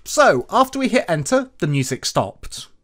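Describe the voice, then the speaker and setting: A man's voice: sits high, big pitch swings, moderate pace, a bit bright, a little hiss or hum. A man narrating a coding tutorial as its host, with sing-song emphasis at the start of phrases. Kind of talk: scripted coding tutorial narration over screen recording.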